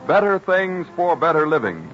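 A man's voice: fairly high pitch, big pitch swings, normal speed. A man with an American accent reading a formal, declamatory scripted announcement in old-time radio style. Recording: muffled, lo-fi old radio broadcast.

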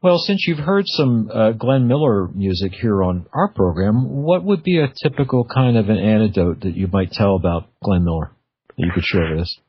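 Low, husky male radio host. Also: expressive, moderate pace. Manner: informal, conversational. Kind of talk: casual interview. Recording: narrowband phone-like radio sound.

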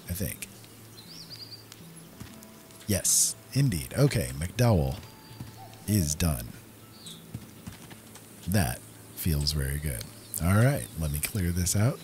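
A man's voice: sits low, slight background noise. A man giving casual gameplay commentary as the host of a gaming video, talking directly to viewers.

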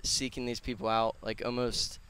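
A man in his twenties speaks in a clear, resonant voice at an average pitch. He answers like a casual podcast guest, earnest and slangy, rambling along into a headset mic outdoors. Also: little pitch movement, normal speed, sharp tone. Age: 20s. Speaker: podcast guest, personal trainer and gym owner. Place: outdoors on a concrete patio.

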